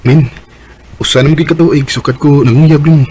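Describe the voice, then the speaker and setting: A man's voice: fairly high pitch, steady tone, a little fast, mellow, natural voice. A man playing an earnest, hesitant, lovestruck young Cebuano working man in a Filipino radio drama. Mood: earnest, pleading. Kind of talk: Bisaya radio drama acting. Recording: music behind the voice.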